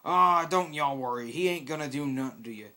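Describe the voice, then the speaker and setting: A man's voice: on the high side, brisk, pushed and bright. A man doing a drawling Texan engineer. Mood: reassuring. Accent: put-on Texan. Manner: drawling, folksy. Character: folksy friendly Texan male engineer.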